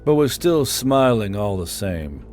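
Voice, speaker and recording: A man's voice: lowish voice, sing-song, unhurried, darkish tone. A man reading scripted fantasy fiction as an audiobook narrator. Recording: background music under the voice.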